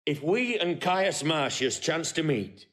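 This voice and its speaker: An actor playing a proud, shrewd warrior general in a classical Shakespearean audio drama, declaiming crisp verse. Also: male voice, a little high, very animated, slow pace, a bit bright, heavily acted. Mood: resolute. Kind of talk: classical Shakespearean stage acting.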